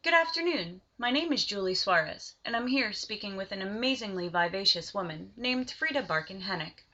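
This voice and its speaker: A woman's voice: mid-range voice, lively intonation, brisk, pushed and bright, highs cut off. A woman acting as interviewer, reading formal, admiring questions in a scripted interview.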